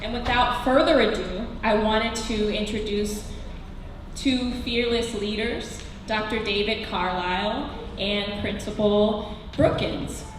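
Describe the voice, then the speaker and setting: A woman makes formal introductions as an event presenter. Her pitch is average. She sounds far from the mic in a strongly echoing hall. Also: fairly even intonation, takes it slowly. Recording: distant, very echoey hall, faint noise.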